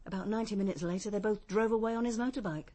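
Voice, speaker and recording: An actress playing a shaken witness recounting events in a radio drama. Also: female voice, mid-range voice, racing, close to an everyday voice. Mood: shaken recollection. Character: shaken female witness recounting a frightening outburst.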